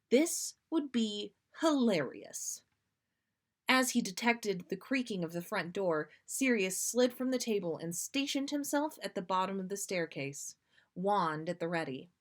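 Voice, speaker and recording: A woman hosting a livestream, reading fan fiction aloud with expressive delivery. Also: mid-range voice. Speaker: podcast host reading listener fan fiction. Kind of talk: storytelling read aloud on a livestream.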